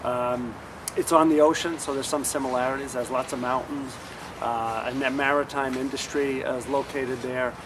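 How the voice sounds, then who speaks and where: A man talks steadily about his students' program, in a measured, explanatory way with frequent filled pauses. He is recorded outside with clear street and vehicle noise behind him. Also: mid-range voice, steady tone. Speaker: landscape architecture professor leading a student program. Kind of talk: on-site interview. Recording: noisy outdoor street recording.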